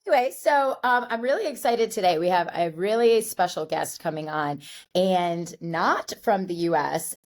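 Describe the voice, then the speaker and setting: A woman's voice: lowish voice, moderate pace. A woman from the Northeastern United States co-hosting a podcast, chatting casually with frequent restarts. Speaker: podcast co-host.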